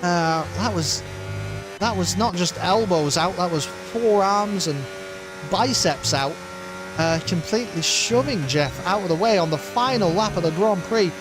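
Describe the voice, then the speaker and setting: A man commentating a sim race and signing off his stream, excitable and rambling, with his voice wearing thin after a long session. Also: high-pitched, measured pace, background noise. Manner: excited, rambling, with many uh fillers. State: losing his voice.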